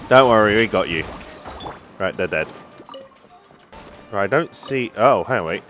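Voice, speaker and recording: A man gives casual video game commentary with a lively, swinging pitch. He thinks aloud and reacts as he plays, over a light bed of music. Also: measured pace. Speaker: gaming video host.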